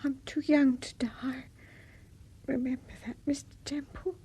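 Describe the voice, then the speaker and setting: Badly injured young woman, frail breathy whisper, high and soft, scared of dying, radio drama. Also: flat delivery, a little slow. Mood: frightened, pleading.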